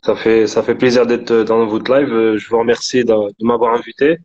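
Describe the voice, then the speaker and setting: Man, 30s, from Morocco, guest painter, halting speech, mid pitch, dull lo-fi sound. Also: flat delivery, a little mellow, slight background noise. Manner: hesitant, many fillers and restarts. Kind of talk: casual interview answers. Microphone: remote call on live stream. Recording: dull lo-fi stream audio.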